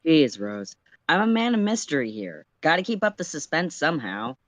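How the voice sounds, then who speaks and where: A man's voice: on the high side, big pitch swings, moderate pace, a bit bright, no room sound. A man voicing a cocky, evasive, wisecracking teenage boy in a story reading, slangy and flippant.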